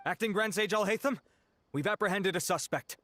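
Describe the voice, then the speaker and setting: A man's voice: high voice, brisk, bright, forceful tone, no room sound. A man playing a dutiful investigating officer in a video game, speaking formally and respectfully in a businesslike way. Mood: reporting, brisk.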